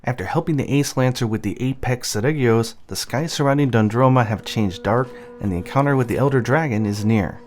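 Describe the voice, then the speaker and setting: A man's voice: medium pitch, a little fast, hardly any pauses, darkish tone, slight background noise. A man giving light explanatory narration of a video game's story. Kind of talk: light explanatory narration over game cutscenes. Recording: music behind the voice. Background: game music.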